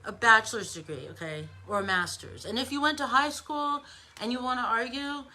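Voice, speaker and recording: A woman's voice: fairly high pitch, moderate pace, bright, forceful tone, low-quality recording. An American woman giving an informal opinion monologue as an online commentator, emphatic and conversational, with rhetorical asides.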